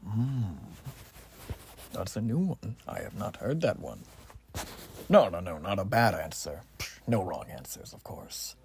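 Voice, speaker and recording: A man playing a zealous, courteous revolutionary war soldier in an ASMR roleplay exam, speaking softly and breathily with formal, old-fashioned phrasing. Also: on the low side, animated, unhurried, long pauses, a little hiss or hum. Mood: polite, probing. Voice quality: soft, breathy, often near whisper. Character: zealous, folksy revolutionary war soldier examining a new recruit.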